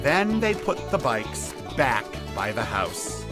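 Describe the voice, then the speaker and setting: A woman reads a children's picture book aloud at a very unhurried pace, her lowish voice swinging widely in pitch for expressive storytelling. Prominent music plays under her reading. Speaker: storybook reader.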